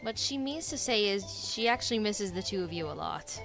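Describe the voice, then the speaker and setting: A woman's voice: medium pitch, lively intonation, brisk, creaky, fairly bright tone. A woman gaming streamer giving casual reaction commentary as she plays. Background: game music.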